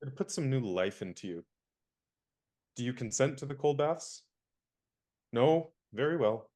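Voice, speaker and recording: A young man acting an indignant valet in a radio play, crisp and lecturing. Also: mid-range voice, lively intonation, a little slow, warm tone, close to an everyday voice. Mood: stern.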